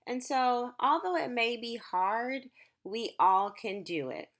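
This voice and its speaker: Slow, expressive woman reading to children. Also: fairly high pitch. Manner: encouraging, reassuring, addressing young children. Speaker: school counselor reading aloud to children. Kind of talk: children's picture book read-aloud with explanatory asides.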